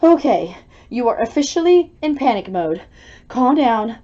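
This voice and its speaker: A woman voicing an excitable storm gauge that calls out urgent, exaggerated danger warnings in a children's story. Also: a little high, measured pace, lo-fi sound. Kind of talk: children's storybook read-aloud.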